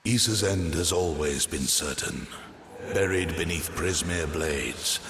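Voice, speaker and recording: An ancient, colossal tree guardian from a fantasy game. The voice is extremely low and unhurried, grave and cryptic, with stately phrasing. It rings out in a long reverb. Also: male voice, background noise. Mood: solemn, watchful. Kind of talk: scripted fantasy game dialogue.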